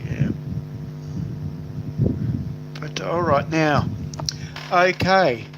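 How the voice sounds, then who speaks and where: A man's voice: on the high side, slow pace, lo-fi sound. An older Australian man chatting loosely on his live stream, rambling with many fillers. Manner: rambling, lots of fillers, stop-start phrasing. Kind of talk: casual live stream chat, reading comments aloud. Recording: noisy home recording.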